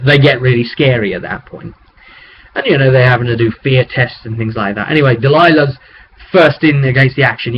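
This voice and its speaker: A man with a medium-pitched voice recounts a tabletop game as its game master. He talks in a loose, rambling, conversational way with a storyteller's relish. The webcam recording is dull, with noticeable noise behind him. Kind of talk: casual rambling session recap to camera. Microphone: webcam microphone. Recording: dull webcam audio with clear background noise.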